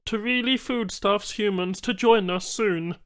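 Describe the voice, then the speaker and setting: High-pitched alien trader. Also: male voice, fairly bright tone. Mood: businesslike. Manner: halting, oddly ordered phrasing. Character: alien male trader, formal, eager, stilted syntax. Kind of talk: audiobook character voice.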